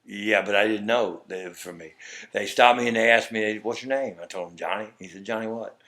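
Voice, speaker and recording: A man's voice: on the low side, brisk, a bit bright. A middle-aged man with a Texas accent, a guest telling a long story and acting out what others said. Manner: rambling, acts out quoted dialogue. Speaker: interview guest. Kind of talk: conversational storytelling.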